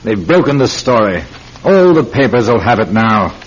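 An actor playing an impatient senior London police inspector with a British accent, brusque and commanding in an old-time radio drama. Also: male voice, lowish voice, big pitch swings, moderate pace, a little hiss or hum. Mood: exasperated. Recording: dull, muffled classic radio recording.

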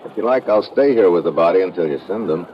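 Noisy old radio serial: a hardboiled, watchful male adventurer, very low voice, clipped delivery. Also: lively intonation, fast, dull audio. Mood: polite, guarded. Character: tough, wry, watchful male adventurer narrating his own case. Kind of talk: old-time radio adventure drama acting, with first-person narration.

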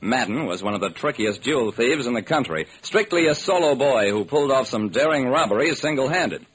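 Vintage radio detective hero played by a man, wry and sharp, lively swooping inflection, noisy old recording. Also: mid-range voice, brisk, close to an everyday voice. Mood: wry, narrating. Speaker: narrator and lead actor. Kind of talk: old-time radio detective narration and acting.

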